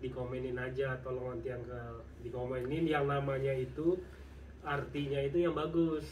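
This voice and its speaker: A man, the vlogger's husband, chiming in quietly and casually during a home chat. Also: mid-range voice, little pitch movement, moderate pace. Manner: quiet, laid-back interjections. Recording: distant mic, faint room noise.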